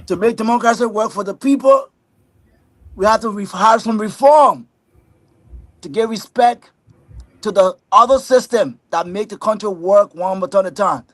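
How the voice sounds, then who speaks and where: Male guest, fairly high, dry close sound, methodical. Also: no echo. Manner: list-like, steady, repetitive phrasing. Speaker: panel guest. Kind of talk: prepared statement in a debate.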